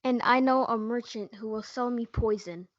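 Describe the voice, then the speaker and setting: Casting: female student as defiant, grieving young male lover, high voice, school stage reading, dull lo-fi sound. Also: normal speed, dry recording, natural voice. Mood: grim resolve. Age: young teen or student. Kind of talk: school reader's theatre performance. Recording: dull lo-fi audio.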